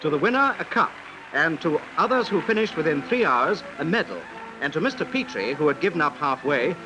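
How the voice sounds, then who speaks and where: Male newsreel narrator, high-pitched, lively intonation, formal dramatic delivery, vintage 1950s soundtrack. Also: fairly quick, over music, poor audio.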